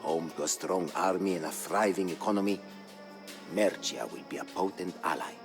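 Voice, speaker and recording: An actor playing a proud, solemn Berber chronicler, narrating a video game campaign in a grave, measured, formal manner. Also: male voice, low-pitched. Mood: solemn, intent. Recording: studio voice-over with music behind.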